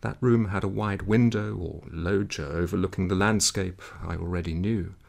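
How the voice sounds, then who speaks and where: A man reading a literary story aloud in a measured, dramatic way, with a gravelly, husky voice. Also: sits low, steady tone. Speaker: audiobook reader.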